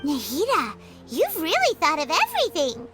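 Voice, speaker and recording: A tiny, chirpy girl companion who floats along in a video game. Her voice sits extremely high and swoops all over with bubbly excitement, set over bright game music. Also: normal speed. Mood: impressed.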